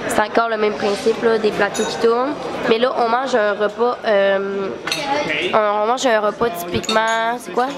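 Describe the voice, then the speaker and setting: A woman's voice: fairly high pitch, steady tone, normal speed, a loud crowd. Congested Québécois woman vlogging. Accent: Québécois French. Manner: chatty, full of fillers. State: congested and tired. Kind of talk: casual travel vlog.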